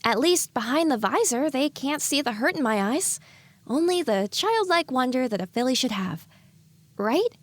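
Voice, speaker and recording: A woman plays an anxious young filly pony in an audio drama, thinking aloud in a high, swooping voice. She questions herself constantly, yet clings to hope. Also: big pitch swings, moderate pace, no room sound. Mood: doubtful, hurt.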